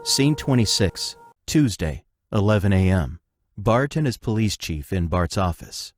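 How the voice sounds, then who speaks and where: A male narrator with a fairly low voice reads scripted scene announcements for an audio drama. Music plays clearly behind him. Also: lively intonation, a little slow.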